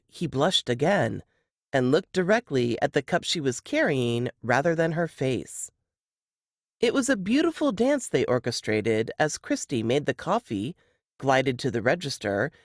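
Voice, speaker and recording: A woman's voice: sits low, no echo, clean studio sound. A woman reading a novel aloud as scripted third-person narration. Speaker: novelist reading their work.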